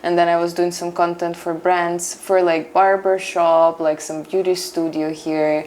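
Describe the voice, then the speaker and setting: A young woman with a Ukrainian accent, a non-native English speaker, telling her story as an interview guest. She acts out past conversations in a lively way. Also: fairly low pitch, normal speed. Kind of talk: casual interview chat.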